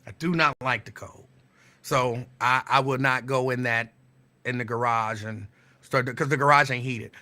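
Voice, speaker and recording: Bright-voiced man chatting casually. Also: medium pitch. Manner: relaxed, rambling, drawn-out repeats. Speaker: show host. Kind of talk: casual live-stream chat.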